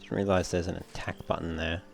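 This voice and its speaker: A man giving casual, low-key let's play commentary, musing aloud as he plays. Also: little pitch movement, brisk, vocal fry. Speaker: gaming video host. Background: game music.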